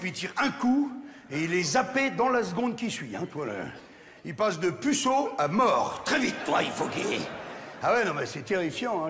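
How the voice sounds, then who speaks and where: Rowdy high-voiced stand-up comedian. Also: male voice. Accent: Champagne. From France, Champagne region. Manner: crude, animated, talks straight to the audience. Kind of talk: live stand-up routine. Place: large theatre stage. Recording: live theatre with long reverb and crowd.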